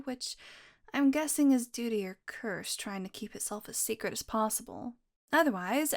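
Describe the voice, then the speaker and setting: Actress as young female vampire, slightly high pitch, playful, wry, curious, casual intimate audio roleplay. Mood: musing, analytical.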